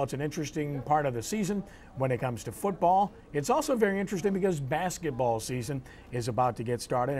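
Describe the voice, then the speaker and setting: A man's voice: mid-range voice, a little mellow, slight background noise. A man hosting a local sports talk show in a conversational presenting style.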